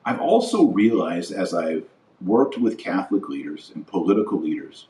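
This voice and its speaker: A man delivering a political and religious monologue as a podcast host, emphatic and deliberate, repeating phrases for weight. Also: deep voice, big pitch swings, darkish tone. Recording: roomy echo, distant microphone.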